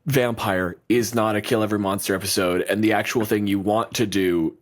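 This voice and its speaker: A man's voice: on the low side, fairly even intonation, brisk. A man co-hosting a podcast as its game master, chatting casually with lots of "like" and casual swearing. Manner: rambling, filler-heavy, swears casually.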